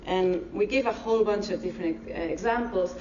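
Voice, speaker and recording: A woman from Barcelona with a Spanish accent giving a public science lecture, speaking slowly and carefully. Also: mid-range voice, a little mellow, a little hiss or hum. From Spain. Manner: deliberately slow, careful explanations. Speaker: marine ecology lecturer. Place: university lecture hall. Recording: echoey lecture hall, distant dull mic.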